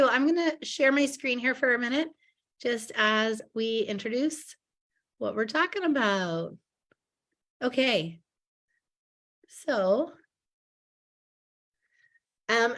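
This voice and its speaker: A woman in her thirties, slightly husky from a cold, hosting a casual online product presentation on a video call with many ums and restarts. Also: on the high side, fairly bright tone. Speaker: host, direct sales presenter.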